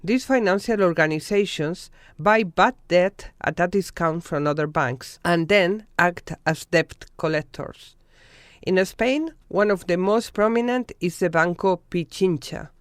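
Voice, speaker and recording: A documentary narrator reading a scripted news report in a measured, formal way from a studio voice-over. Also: medium pitch, clear, resonant tone, no echo.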